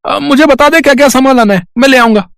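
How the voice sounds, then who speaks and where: A man voicing an eager, helpful, chummy friend in an animated storybook tale. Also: very high-pitched, fast, creaky, over music, voice pushed up. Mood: friendly, eager. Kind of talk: animated storybook cartoon dialogue.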